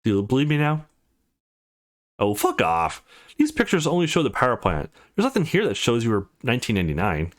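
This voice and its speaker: A brash teenage girl best friend from a visual novel, read out by a man in his own male register. The delivery is blunt, teasing and excitable, swinging widely in pitch. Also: a little fast. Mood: skeptical. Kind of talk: visual novel character reading.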